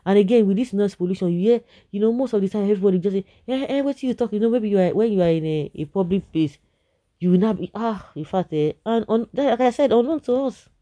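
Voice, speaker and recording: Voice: mid-range voice, expressive, fast, dark tone. A Nigerian commentator giving informal, opinionated news commentary in an exasperated, emphatic way, repeating phrases and slipping into Pidgin. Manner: slips between English and Nigerian Pidgin. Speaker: commentator reading and reacting to viewer comments.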